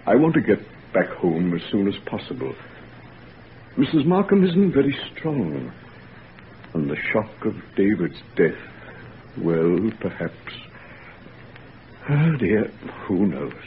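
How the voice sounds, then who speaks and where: A weary older father in an old radio play, low and slow with a heavy, halting sorrow. His pitch rises and falls widely. The sound is thin and tinny, like old broadcast radio, with a faint hiss. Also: very animated, heavily acted. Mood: sorrowful. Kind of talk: 1940s radio acting.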